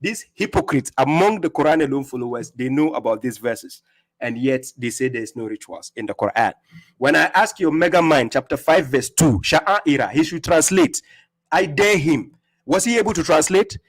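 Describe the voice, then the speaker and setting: Man with a higher voice, taunting. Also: expressive, normal speed. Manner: mocking, taunting, laughs at opponents; emphatic, repeats words when he stumbles. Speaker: livestream host. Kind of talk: combative religious livestream rebuttal. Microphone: streaming setup.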